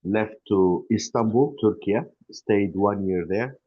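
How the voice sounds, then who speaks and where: A middle-aged man from Iraq with an Iraqi accent, introducing himself haltingly as he searches for English words. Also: on the low side. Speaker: family business representative. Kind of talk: self-introduction at networking meetup. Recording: dull, lo-fi call audio.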